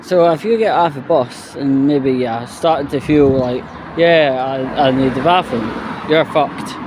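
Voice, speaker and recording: Glasgow guy vlogging outside, slow unhurried talk, blunt and sweary, loads of traffic noise. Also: medium pitch. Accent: Scottish, Glasgow area. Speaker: vlogger presenting a walking video. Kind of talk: casual off-the-cuff vlog chat. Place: outdoors by a roadside bus stop. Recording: outdoor street noise with passing traffic. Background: traffic and street ambience.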